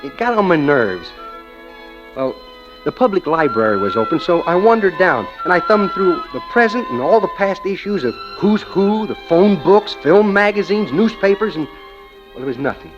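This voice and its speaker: Smitten American clerk, muffled old radio. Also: male voice, high voice, very animated, moderate pace, music in the background. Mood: brooding, confiding. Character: smitten, obsessive male bank clerk telling his story. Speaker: narrator and lead actor. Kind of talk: 1940s radio drama narration.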